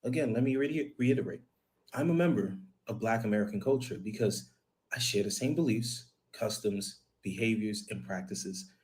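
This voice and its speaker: A man with a New York accent, explaining himself in a clip played from a video, with measured, deliberate phrasing. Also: fairly low pitch, a little mellow. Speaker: video creator.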